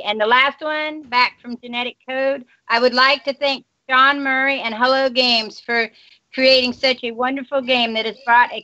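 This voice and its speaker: This is a woman co-host reading listener messages aloud. Her voice is high, bright and dry, and the sound is a little dull. She moves briskly from one message to the next and sometimes trips over a word. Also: no room sound.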